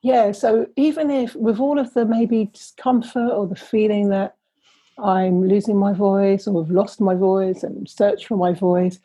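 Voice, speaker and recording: A woman's voice: medium pitch, steady tone, a little slow, warm tone, slightly rough, no echo, poor audio. A middle-aged woman, an academic guest, speaking thoughtfully and measuredly in conversation, often restarting her sentences. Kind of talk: reflective interview conversation.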